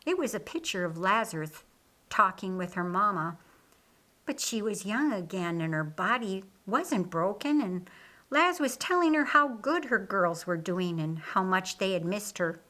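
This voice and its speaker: A woman's voice: medium pitch, sing-song, unhurried, sharp tone. A woman reading scripted story narration for an audiobook. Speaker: audiobook reader.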